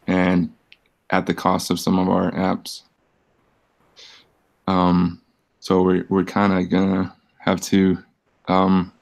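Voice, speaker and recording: A man giving a casual update in a team meeting, halting and understated. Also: low-pitched, gravelly.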